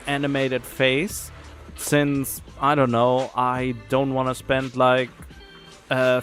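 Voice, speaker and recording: A man's voice: on the high side, fairly bright tone. A man streaming a game with casual, rambling commentary, thinking aloud as he chats. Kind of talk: casual chatty livestream commentary.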